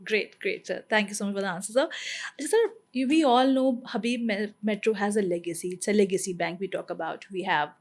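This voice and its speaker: A woman's voice: mid-range voice, fairly quick. A woman with a Pakistani English accent hosting an interview, asking prepared questions and politely calling her guest sir. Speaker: podcast host.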